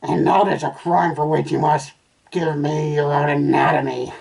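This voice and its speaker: A lustful male cartoon dog, acted out by a woman, speaks with pompous menace. The voice is rough and theatrical, declaiming each line. It is picked up from a little distance. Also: low voice, steady tone, normal speed, a little mellow, heavily acted. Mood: menacing, pompous.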